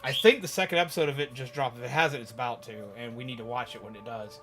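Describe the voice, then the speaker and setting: A man livestreaming, chatting casually and rambling to viewers in an animated, emphatic, opinionated way. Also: mid-range voice, fast talker, a little hiss or hum. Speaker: live streamer. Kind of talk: casual rambling chat with viewers while gaming.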